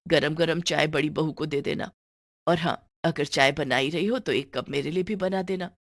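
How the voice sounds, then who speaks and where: An elderly, gullible mother-in-law played by a woman storyteller speaks in a lowered voice. She fusses affectionately and soothes everyone around her, in the style of a cartoon family tale. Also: normal speed, dry recording, clean studio sound. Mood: instructing.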